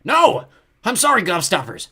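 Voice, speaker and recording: A man's voice: sits high, very animated, quick pace, gravelly, sharp tone, slightly rough, pitched above the performer's own voice, exaggerated voice. A man voicing a cheeky little hobgoblin who yelps and blusters through a storybook tale. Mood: panicked. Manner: yelping, blustering. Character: cheeky, panicky hobgoblin, small male creature.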